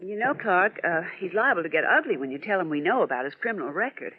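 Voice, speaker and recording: Animated, pert radio girl reporter played by a woman. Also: on the low side, quick pace, a crowd in the background, lightly acted. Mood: concerned. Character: skeptical quick-witted female newspaper reporter. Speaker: radio drama actor. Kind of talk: 1940s radio acting. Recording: dull lo-fi vintage radio recording.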